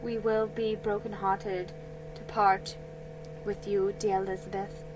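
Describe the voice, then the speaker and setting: A woman voicing a gentle, saddened colonial mother who speaks softly. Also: medium pitch, little pitch movement, a little slow, noisy background, close to an everyday voice. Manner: soft, gentle.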